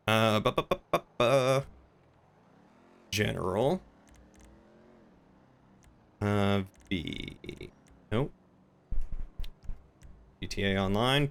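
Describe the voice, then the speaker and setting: A man's voice: lowish voice, bright, forceful tone. A man chatting casually as he streams a game, often muttering half to himself.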